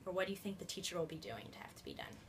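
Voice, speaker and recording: A woman's voice: fairly low pitch, huge pitch swings, racing. A woman teacher reading a storybook aloud expressively and putting questions to young children. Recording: faint background noise.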